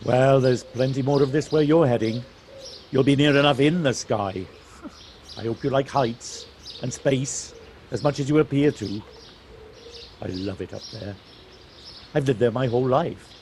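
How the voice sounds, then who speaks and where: Male actor as chatty rural driver, neighbourly and teasing, mid-pitched, home-recorded with light noise and birdsong. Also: a little slow, pauses often, a little mellow, lightly acted. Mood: friendly, teasing. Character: friendly Welsh countryman, chatty driver fond of hills. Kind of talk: audio play acting.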